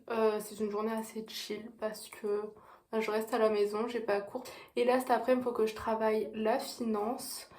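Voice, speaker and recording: Jeune femme au ton aigu, bavarde et rieuse. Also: female voice, on the high side. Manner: chatty, excitable, laughs often. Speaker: student vlogger. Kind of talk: casual vlog diary.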